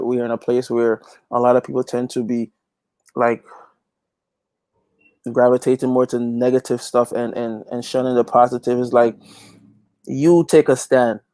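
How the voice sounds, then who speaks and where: A man's voice: mid-range voice, fairly even intonation, normal speed, dark tone, clear, resonant tone, no room sound. A man from Guyana, talking as an interview guest in an earnest, emphatic, preacher-like way, repeating phrases for emphasis. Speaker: interview guest, gospel reggae singer and youth pastor. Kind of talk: conversational interview, preaching-like testimony.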